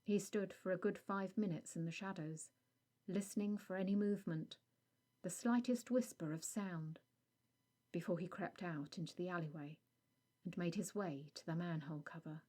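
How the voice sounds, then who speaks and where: A woman reading a scripted audio story as narrator, in a measured storytelling delivery. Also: lowish voice, dark tone.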